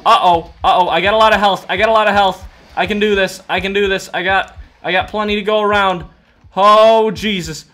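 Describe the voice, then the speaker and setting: A young man in his twenties hosts a gameplay video in a high voice that swings widely in pitch. He reacts dramatically and jokes along, talking to the game characters as he plays. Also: fairly bright tone. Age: 20s. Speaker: gaming video host. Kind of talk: excitable gameplay commentary.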